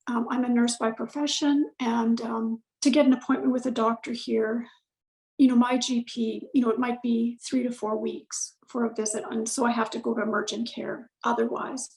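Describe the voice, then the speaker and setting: A woman talks in a relaxed, rambling way, her voice a little high and gravelly. She fills pauses with ums and corrects herself as she goes. The sound is echoey, like a room picked up over a video call. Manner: chatty, many fillers and self-corrections. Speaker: client giving a testimonial. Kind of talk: casual conversational testimonial.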